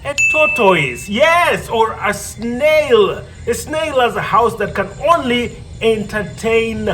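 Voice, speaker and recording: A man performing as a live storyteller and riddle host, theatrical, repeating phrases and pausing for effect. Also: very high-pitched, lively intonation, bright, forceful tone, a little hiss or hum. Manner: theatrical, repeats phrases, pauses before answers. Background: music.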